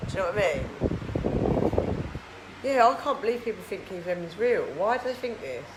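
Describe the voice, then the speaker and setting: A tired woman with a husky voice, chatting casually on a live stream and answering viewer comments. Her throat is huskier than usual, and she rambles, filling her talk with "like". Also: mid-range voice, very animated, brisk. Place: indoors in a hot treatment clinic room. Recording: echoey room with loud background noise.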